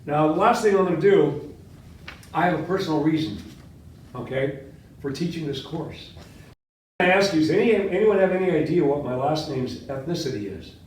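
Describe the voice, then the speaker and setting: A man's voice: a little high, normal speed, pauses often, a little hiss or hum. Older Latvian-born man lecturing in echoey room. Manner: animated, quizzes students, gestures widely. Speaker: history professor. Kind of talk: classroom lecture with questions. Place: seminar room with whiteboard. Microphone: far camera. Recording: echoey classroom from far off.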